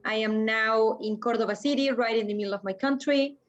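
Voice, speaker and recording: A woman from Argentina speaks English. Her voice sits a bit high, and the call audio is dull and lo-fi. She introduces herself plainly and warmly. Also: a little fast. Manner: friendly, plain self-introduction. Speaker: workshop co-lead. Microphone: video call.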